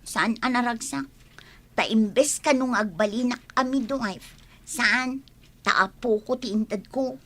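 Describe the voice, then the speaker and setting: Woman acting strong willed woman in a family drama, reproachful, highish sharp voice. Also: unhurried. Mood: bitter. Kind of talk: melodramatic audio drama dialogue.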